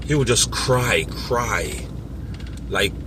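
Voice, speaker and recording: A man talking casually to camera as a parent coach, rambling with many fillers and restarts, with a strong, loud voice. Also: mid-range voice, animated, extremely slow, noisy background.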